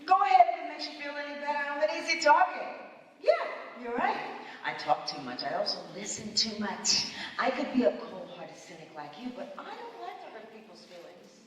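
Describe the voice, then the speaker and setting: A woman's voice: on the high side, big pitch swings, brisk. A woman at a staged script reading playing a talkative, good-hearted salesperson, earnest and wounded, standing up for herself. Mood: hurt, defiant. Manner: earnest, plain-spoken. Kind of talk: staged film-script table read. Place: large hall at a live festival event. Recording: echoey hall from far off, noisy.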